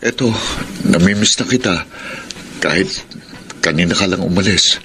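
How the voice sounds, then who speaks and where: A man's voice: deep voice, moderate pace, noisy background. A man playing a lovesick, devoted young Filipino man abroad in a radio melodrama. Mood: wistful. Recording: loud melodramatic music bed.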